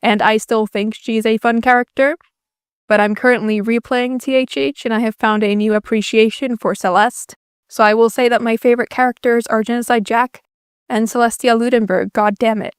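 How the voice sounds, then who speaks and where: A woman's voice: fairly high pitch, steady tone, moderate pace, clean tone, dry recording. Young Finnish-native woman YouTuber, casual Q&A voice-over, self-mocking, dry close mic. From Finland. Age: young adult. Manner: self-deprecating, dry jokes.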